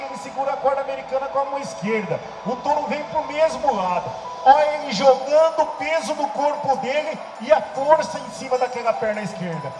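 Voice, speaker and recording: A high-voiced man explains bull riding technique in the rodeo commentary. His voice rings out through a big arena sound system with a long reverb tail. Music plays faintly behind him. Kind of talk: play-by-play replay commentary.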